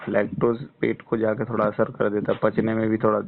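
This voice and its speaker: A man's voice: on the low side, monotone, a little fast. A man giving casual, reassuring health advice as a counsellor on a phone consultation. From West Bengal, India. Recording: muffled, band-limited phone call recording.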